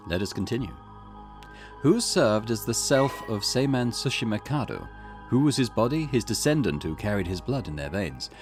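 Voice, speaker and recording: A man reading aloud the lines of a cryptic, formal male storyteller who guides the player, with a measured, theatrical delivery. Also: on the low side, very animated, voice pushed up. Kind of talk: reading game dialogue aloud. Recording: game music playing behind.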